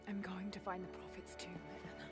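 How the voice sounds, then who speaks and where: A woman's voice: on the low side, flat delivery, fairly quick, natural voice. An actress playing a determined, earnest young adventurer in a video game, haunted by her father's obsession. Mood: haunted, resolute. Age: young adult. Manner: earnest, intense. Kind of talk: cinematic video game acting. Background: music.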